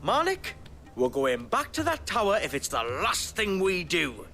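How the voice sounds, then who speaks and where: An actor playing a bossy, scornful, coarse-tongued chief of scavenging merchants in a video game. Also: male voice, high-pitched, wildly swooping pitch, unhurried, bright, forceful tone, faint music behind, exaggerated voice. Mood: defiant, scheming.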